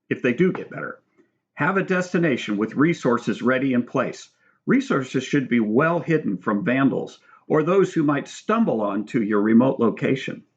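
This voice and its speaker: A man reading his own book aloud as scripted narration, in an instructional, measured manner. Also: medium pitch, dull audio. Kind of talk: scripted audiobook narration with spoken asides.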